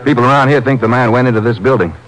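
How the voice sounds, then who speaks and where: An American actor playing a brisk, practical Texas Ranger in a 1950s radio drama, giving clipped, informal reports to his partner. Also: mid-range voice, fairly bright tone, lightly acted, low-quality recording. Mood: businesslike.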